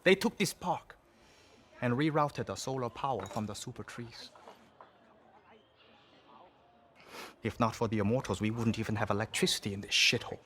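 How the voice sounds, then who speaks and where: An actor playing a swaggering, foul-mouthed gang boss and arms dealer in a video game cutscene. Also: male voice, medium pitch, brisk, fairly bright tone, natural voice. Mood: bitter, proud.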